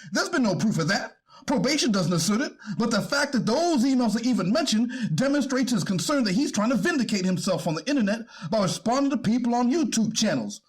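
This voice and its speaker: A man playing a respectful, careful lawyer addressing a judge in a readers theater court hearing, with a husky voice. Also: high voice, exaggerated voice.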